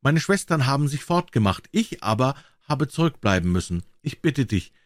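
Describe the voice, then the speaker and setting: Young enchanted princess for a storybook, played by a male reader: high, earnest, plaintive, softly urgent. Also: sing-song, moderate pace, dry recording, studio quality, natural voice. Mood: pleading. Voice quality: soft. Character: pleading young enchanted princess, captive of a witch. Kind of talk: fairy tale character voice.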